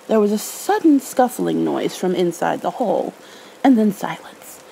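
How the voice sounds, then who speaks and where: A woman reads a children's story as soft bedtime ASMR. Her tone is dark and relaxed with some fry, and her intonation moves in lively curves. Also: on the low side, moderate pace, a little hiss or hum, rough recording.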